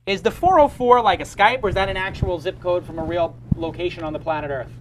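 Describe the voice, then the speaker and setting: A middle-aged man hosting a live startup show, joking and talking fast in bursts. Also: high-pitched, slight background noise, rough recording. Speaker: podcast host.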